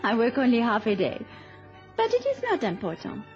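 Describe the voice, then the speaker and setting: An actress playing a cool, weary Viennese shop model in a radio play, clipped and formal. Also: female voice, fairly high pitch, wildly swooping pitch, moderate pace. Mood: aloof, weary. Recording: dull old radio recording with music.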